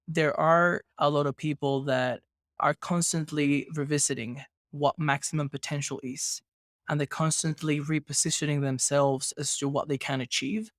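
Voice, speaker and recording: A man's voice: a little high, fairly even intonation, moderate pace, a bit bright, no room sound, clean studio sound. A man hosting a podcast interview, talking through his ideas and building his points with rhetorical questions. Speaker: podcast host. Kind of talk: casual podcast conversation.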